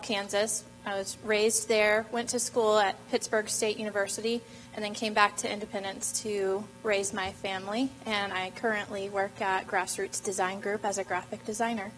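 A woman on a stage panel introduces herself through a handheld mic in a steady, even tone. A faint hum sits under her voice. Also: mid-range voice, normal speed, low-quality recording. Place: theater stage.

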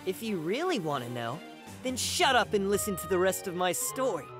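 An actor voicing a brash young male zombie fighter in an anime game, gruff, cocky and stubborn. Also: mid-range voice, sing-song, normal speed, natural voice. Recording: loud music behind the voice.

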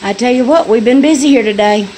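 A woman's voice: on the high side, fast, background noise. A middle-aged woman, chatting folksily as she films on a phone in a small home kitchen. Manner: folksy, chatty, laughs easily. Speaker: homestead vlogger. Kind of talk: casual chat. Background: food frying and sizzling.

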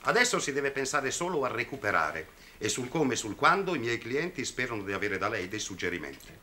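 Stern middle-aged lawyer, forceful tone, very varied intonation, formal, articulate, 1960s TV drama. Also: male voice, medium pitch, sing-song, brisk, slight background noise. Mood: stern, insistent. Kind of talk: 1960s television drama acting. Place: indoors, office, off-screen microphone.

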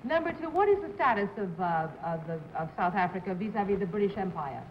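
A woman's voice: medium pitch, animated, fairly quick, a little hiss or hum. A woman on a 1950s game show panel asking faltering questions between laughs, in muffled old broadcast audio. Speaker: celebrity panelist.

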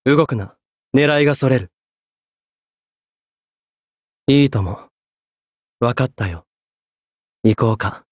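An actor playing a solemn, courteous young executioner in a Japanese anime game, speaking in a polite, measured, grave way. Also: male voice, medium pitch, dry recording, studio quality, natural voice. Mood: commanding. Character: solemn, courteous young executioner, a guilt-burdened French swordsman.

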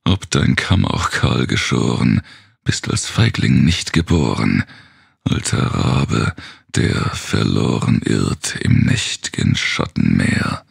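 Male narrator for gothic horror poetry: extremely low, husky voice, brooding and theatrical, slow delivery. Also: no echo, clean studio sound. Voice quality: very gravelly, husky. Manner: brooding, theatrical, dropping into whispers.

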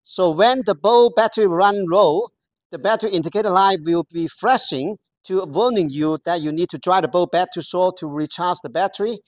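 Halting voice explaining a product step by step. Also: medium pitch, no room sound. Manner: halting, careful explanatory delivery in non-native English. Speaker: product demonstrator. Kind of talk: product walkthrough.

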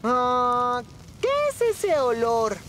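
A woman's voice: a little high, extremely slow. A woman with a Latin American Spanish accent voicing a chatty, food-loving middle-aged woman who gushes. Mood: gushing. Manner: gushing, exclamatory.

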